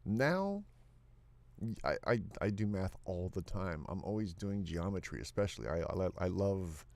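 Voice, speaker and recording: A man's voice: low voice, big pitch swings, unhurried, a little mellow. A man co-hosting a livestream in casual chat, speaking emphatically and often repeating himself. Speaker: livestream co-host.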